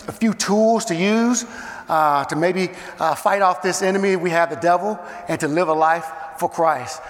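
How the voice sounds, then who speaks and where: A man in his thirties from South Carolina, slightly hoarse, giving a casual, energetic chapel talk to students as a guest coach. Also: high-pitched, big-room sound. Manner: energetic, conversational, says um and uh. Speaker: guest chapel speaker and youth football coach. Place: campus chapel.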